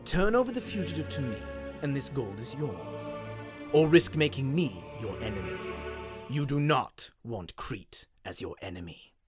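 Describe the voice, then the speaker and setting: A man's voice: mid-range voice, sing-song, moderate pace, slightly rough, soft background music. Man as a cold, menacing aging king. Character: tall, cruel, stern aging king, coldly menacing.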